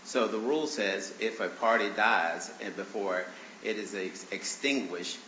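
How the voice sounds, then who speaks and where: A man arguing his own motions before a judge in a courtroom, speaking haltingly with many fillers and restarts, his voice congested from allergies. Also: lowish voice, pushed and bright. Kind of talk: courtroom argument. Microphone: courtroom microphone on a stand. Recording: roomy, dull courtroom recording with faint hiss.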